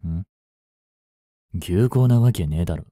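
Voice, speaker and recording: Man acting as young male delinquent, dark mellow low voice, slow gruff drawl, blunt, teasing, protective, audio drama. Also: lively intonation, no echo, studio quality. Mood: gruff concern.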